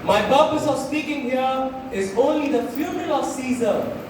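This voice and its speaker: A young man playing a grieving, slyly persuasive Roman orator in a school stage play, declaiming with emphatic pauses. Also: very high voice. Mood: solemn, ironic. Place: school stage, recorded from audience distance. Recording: echoey hall, distant mic, noisy.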